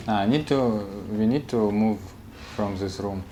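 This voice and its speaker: A Russian-accented man whose first language is Russian, speaking simple English in short replies and quick questions. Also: on the low side, warm tone, roomy sound, a little hiss or hum. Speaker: vlogger and tenant. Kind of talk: casual chat in simple English.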